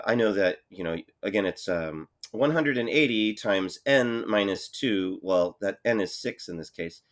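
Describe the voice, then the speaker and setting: A male math teacher with a cold and a congested voice, talking his students through problems in a relaxed way, thinking aloud with many fillers. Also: lowish voice. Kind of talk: recorded lesson walkthrough.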